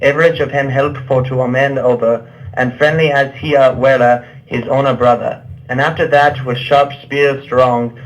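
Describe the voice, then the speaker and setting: A man's voice: medium pitch, little pitch movement, moderate pace. A man reading archaic verse aloud for a puppet show, stumbling over the old words. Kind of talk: halting read-aloud of Middle English verse. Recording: dull, muffled lo-fi sound.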